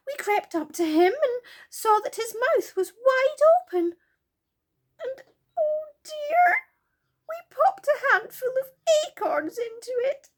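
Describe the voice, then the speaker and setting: A woman voicing a tearful, fretful little fairy girl with a squeaky, wailing voice for a children's storybook. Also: heavily acted. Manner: sobbing, wailing delivery.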